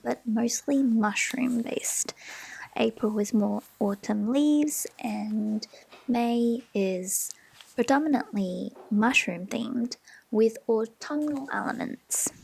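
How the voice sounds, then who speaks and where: Slow, soft-spoken Australian woman. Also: on the high side, creaky, slight background noise, low-quality recording. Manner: gentle, often half-whispered, unhurried. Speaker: planner vlogger. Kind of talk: soft-spoken planner flip-through. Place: home desk.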